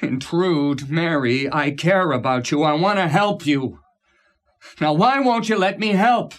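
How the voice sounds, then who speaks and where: Worried fed-up colleague, low voice swinging all over, blunt and pushy, drama scene. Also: female voice, expressive, a little slow. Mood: concerned. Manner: blunt, insistent, colloquial. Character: exasperated, forceful, worried colleague of a recluse. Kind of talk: scripted drama acting.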